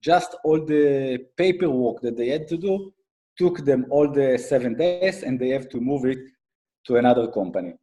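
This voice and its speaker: A middle-aged man with an Israeli accent giving an explanatory business talk as a guest expert, haltingly, with frequent fillers. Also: mid-range voice, lively intonation, dark tone. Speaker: guest speaker, IT advisory consultant and accountant.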